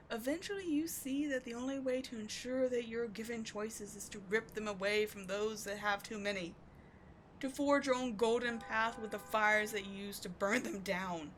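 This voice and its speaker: A woman playing an obsessive, devoted villain in a dramatic ASMR roleplay, speaking with theatrical intensity that moves between forceful and hushed. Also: fairly high pitch, a little hiss or hum, close to an everyday voice. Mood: fervent, vengeful. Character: obsessive, devoted female villain wooing a wounded sidekick.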